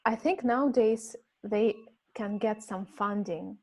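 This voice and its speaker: A Russian-native woman hosts an English conversation club over a video call. She talks informally, stopping and restarting often and filling gaps with ums. Her pitch sits in the middle of the female range. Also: takes it slowly, husky. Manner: hesitant, many fillers and restarts. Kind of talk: casual teaching chat.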